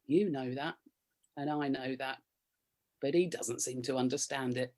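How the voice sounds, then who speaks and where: A woman's voice: rumbling low, unhurried, a little mellow. An actress with a British accent playing a prim, flustered married woman, coy and curious, in a radio comedy. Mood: disdainful. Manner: coy, flustered, innuendo-laden delivery with nervous chuckles. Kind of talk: radio play comedy acting, confessional monologue and banter.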